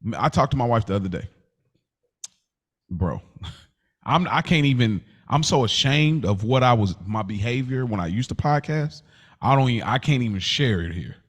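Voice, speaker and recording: A man's voice: medium pitch, animated, moderate pace, breathy edge. American man talking candidly in casual slang. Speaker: podcast co-host. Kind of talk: casual conversation.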